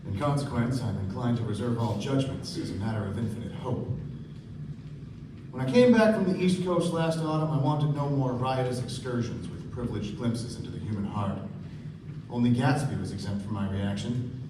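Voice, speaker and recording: A man playing a reflective, well-bred young narrator of the 1920s in a measured, literary stage delivery. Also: mid-range voice, noisy background, natural voice. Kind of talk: scripted first-person stage narration. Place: stage of a small theatre. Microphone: far camera. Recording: echoey theatre from far off, music behind.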